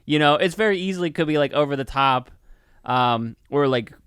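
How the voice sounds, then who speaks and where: A man co-hosting a podcast in casual chat, opinionated and halting, often repeating himself as he goes. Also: a little high, a bit bright, clean tone, dry recording, clean studio sound. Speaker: podcast co-host. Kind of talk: casual movie review chat.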